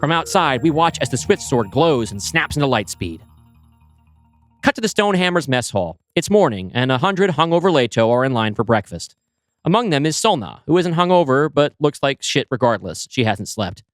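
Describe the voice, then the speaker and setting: A man's voice: medium pitch, very animated, moderate pace, no room sound, clean studio sound, soft background music. A man reading a screenplay's scene directions aloud, with a wry, descriptive manner. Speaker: podcast co-host.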